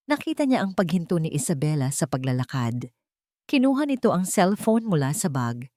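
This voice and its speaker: A woman narrating a scripted romance story in a measured, dramatic storytelling manner. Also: lowish voice, darkish tone, dry recording, clean studio sound.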